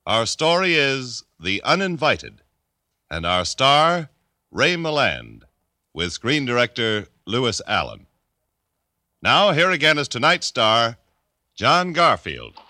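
A man's voice: mid-range voice, huge pitch swings, slow pace, bright, forceful tone, dry recording, studio quality. A man with an American accent reading scripted announcements in the formal style of 1950s radio.

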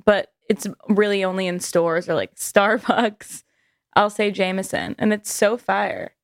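A woman's voice: mid-range voice, steady tone, dry recording, clean studio sound. Playful, swearing woman podcast co-host. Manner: playful, quick asides, swears casually. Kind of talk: casual podcast chat.

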